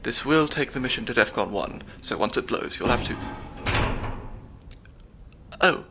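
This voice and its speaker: A man's voice: mid-range voice, lively intonation, unhurried, gravelly, noisy background. A young man voicing a nerdy, slightly anxious stand-in spy handler with dry wit, in an ASMR audio roleplay. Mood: analytical. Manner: analytical, dry wit, soft-spoken.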